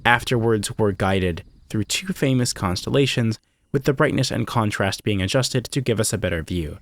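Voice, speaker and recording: A man's voice: fairly low pitch, normal speed. A man delivering scripted, analytical video essay narration in a conversational way, with casual asides. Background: music.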